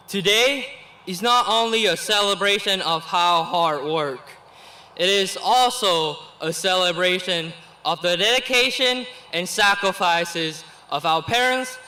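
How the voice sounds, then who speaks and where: A high, bright-toned young man reads out a graduation speech. He takes his time, giving each phrase a slow and careful weight. His delivery is earnest, with a quick laugh now and then. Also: low-quality recording. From Vietnam. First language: Vietnamese. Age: late teens. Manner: earnest and deliberate, with small laughs between phrases. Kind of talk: reading a prepared commencement speech.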